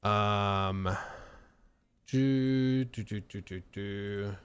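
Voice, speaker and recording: A man's voice: on the low side, drawn-out words, clear, resonant tone. A man hosting a casual live stream, slightly wheezy and out of breath, rambling with lots of fillers and restarts. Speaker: streaming host.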